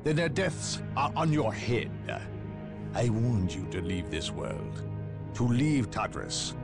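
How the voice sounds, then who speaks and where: Cold secretive inquisitor, measured and preachy, lots of swing in his voice, game music under it. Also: medium pitch, sing-song. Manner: measured, guarded, coldly lecturing. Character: cold secretive male inquisitor, middle-aged, unyielding. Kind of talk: video game cutscene voice acting. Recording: voice over orchestral game score.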